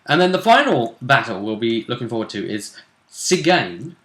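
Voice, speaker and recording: A man's voice: medium pitch, big pitch swings, moderate pace, fairly bright tone, echoey room. A man chatting casually as host about a tabletop role-play game, musing aloud and often prompting his co-host with questions.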